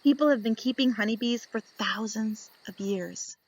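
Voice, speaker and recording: A woman reading a picture book aloud to young children, expressive and instructive, putting questions to her listeners and answering them. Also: on the high side, normal speed, poor audio.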